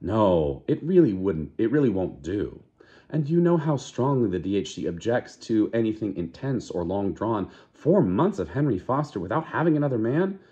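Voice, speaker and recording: A man voicing a chatty young woman who scolds her friend in a high, prim voice, while reading a novel aloud. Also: sing-song, moderate pace, dark tone, muffled recording. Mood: scolding.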